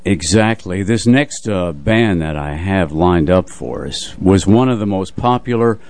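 A man in his eighties reminiscing casually as a radio music show host, telling stories in a measured way and repeating phrases. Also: low-pitched, expressive, a little mellow, dry recording. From United States, New Jersey area. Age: 80s. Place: radio studio.

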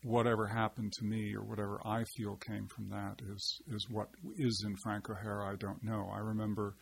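Gravelly low-voiced man musing, hesitant. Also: monotone, a little hiss or hum. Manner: halting, searching for words, many fillers and restarts. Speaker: poet and translator, interview guest. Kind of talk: reflective conversational interview. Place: seated at a table.

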